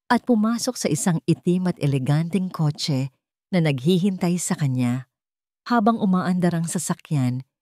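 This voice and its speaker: Casting: female narrator for dramatic love stories, slightly low pitch, soft dark tone, scripted read. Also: no echo, clean studio sound. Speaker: story narrator.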